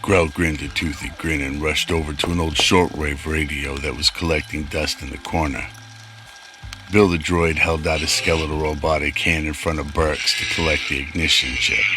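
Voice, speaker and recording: A man's voice: extremely low pitch, low-quality recording. A man narrating a scripted sci-fi radio drama.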